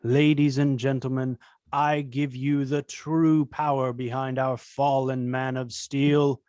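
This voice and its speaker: A man playing a smooth-talking, scheming tycoon villain who makes a pompous public speech in a script read-through. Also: medium pitch, level tone, slow pace, darkish tone, dry recording, clean studio sound, close to an everyday voice. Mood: pompous, showy. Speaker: table-read performer.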